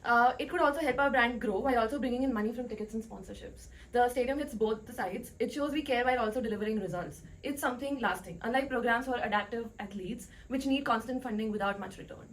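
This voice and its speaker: A woman's voice: fairly high pitch, quick pace, few pauses, poor audio. A woman giving a prepared business argument as a debate panelist in a plain, explanatory way. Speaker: debate panelist playing an executive.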